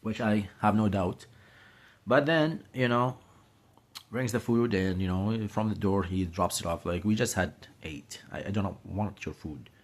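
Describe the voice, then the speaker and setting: A man's voice: lowish voice, measured pace. A man in his late thirties from Syria, talking casually to a phone camera in a small room, rambling with many fillers and restarts. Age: late 30s. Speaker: vlogger. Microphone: phone camera held close.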